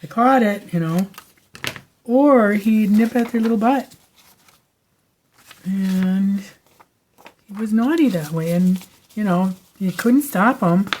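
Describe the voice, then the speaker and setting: A woman hosting a crafting channel, talking casually at home with a raspy voice, rambling from story to story with lots of fillers and no microphone on. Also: medium pitch, takes it slowly, pauses often, a little mellow, a little hiss or hum, low-quality recording. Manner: chatty, digressive, lots of fillers. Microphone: no microphone, camera mic.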